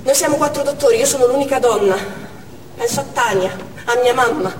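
A woman's voice: on the high side, fairly quick, close to an everyday voice. Woman actor, worried determined doctor mother, stage drama narration, recorded from afar, long hall reverb, noticeable noise. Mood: tense. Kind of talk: dramatic stage monologue in present tense. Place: on stage in a theatre. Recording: echoey theatre hall from far off, noisy.

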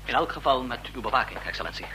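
A man's voice: mid-range voice, expressive, very fast, bright, forceful tone, rough recording. An actor playing a brash, urgent man in his thirties, a loyal agent, in a radio drama with a commanding manner. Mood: urgent, respectful. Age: 30s. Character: brash, urgent 35-year-old male intruder, loyal agent. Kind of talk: Dutch radio drama acting. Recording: faint noise and clicks, old radio recording.

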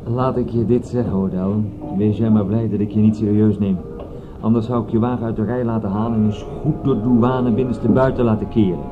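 A man's voice: fairly low pitch, fairly even intonation, fairly quick, music in the background, natural voice. Man playing a drily teasing inspector, tinny sound. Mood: needling. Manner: dry, needling, politely condescending. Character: shrewd, drily teasing male police inspector. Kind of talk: radio drama dialogue. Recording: tinny, noisy old radio recording.

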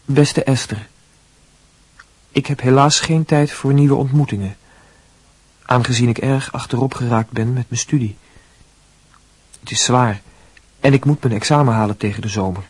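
An actor plays a young male student in a radio drama, meek and compliant with his sweetheart. The voice is husky, mid-pitched and closely recorded with a dry sound. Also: normal speed, long pauses, dry recording, natural voice. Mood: stiff, apologetic. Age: young adult. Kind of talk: Dutch radio play acting.

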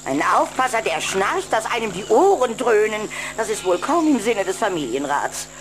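A woman's voice: mid-range voice, very animated, slight background noise, close to an everyday voice. A woman playing a haughty, scolding vampire aunt in a German children's audio play, with stern, theatrical delivery. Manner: theatrical, stern, drawn-out pronouncements. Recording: music behind the voice.

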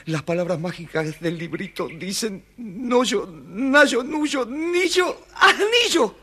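High, husky plucky boy. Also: big pitch swings, takes it slowly, bright, forceful tone, full character voice. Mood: incantatory. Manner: eager, theatrical. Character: plucky, clever boy hero of a fairy tale. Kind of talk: children's storybook record acting.

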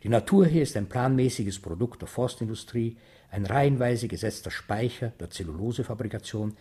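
A man reading a novel aloud as literary narration, with a husky voice. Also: mid-range voice, expressive, a little fast, dark tone. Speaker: reader of a novel.

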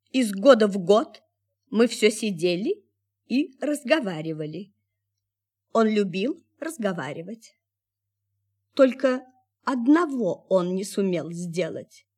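An actress playing an ageless, gentle android mother in a radio drama, speaking with wistful resignation. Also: mid-range voice. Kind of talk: Soviet radio drama acting.

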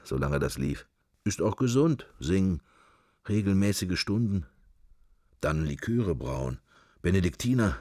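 A man's voice: low voice, no echo, clean studio sound, full character voice. An actor playing a wry, sceptical middle-aged man murmuring his fragmentary private thoughts in a radio drama. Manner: murmured, fragmentary, associative musing. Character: musing middle-aged man, wry, sceptical, worldly, silently observing church. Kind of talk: radio drama interior monologue.

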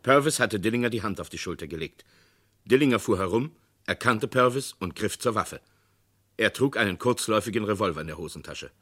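A man narrating a 1960s radio crime play in a measured, documentary tone. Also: fairly low pitch, expressive, sharp tone, husky. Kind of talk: scripted radio drama narration.

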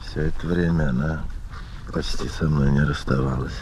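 A man's voice: a bass voice, steady tone, moderate pace, a little mellow. A man speaking as an animal trainer, explaining his work matter-of-factly in unscripted interview answers. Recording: noisy location recording with animal sounds.

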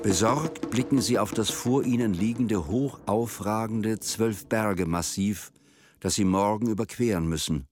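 A man with a fairly low voice tells a literary story for radio. His delivery is measured and descriptive, in the manner of a scripted drama narrator. Music plays clearly behind him. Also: a little mellow.

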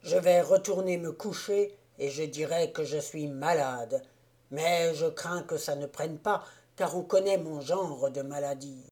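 A woman voicing a hungover, grumbling middle-aged road mender who complains loudly and drags out his words. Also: deep voice. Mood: whining. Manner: loud, drawn-out complaining. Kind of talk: audiobook character voice.

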